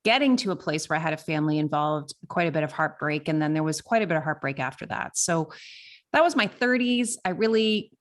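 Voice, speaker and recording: A woman's voice: sits low, animated, fairly quick. A woman of fifty talking candidly and conversationally in a reflective solo podcast, with emphasis on key words. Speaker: podcast host.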